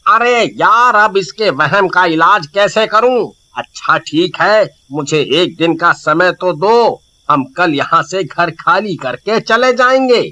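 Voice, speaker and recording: Man acting a scoffing village husband, bright and high. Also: lively intonation, slow pace, a little hiss or hum, full character voice. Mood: dismissive. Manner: scoffing, exasperated. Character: dismissive, bold village husband, easily exasperated. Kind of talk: animated horror story acting.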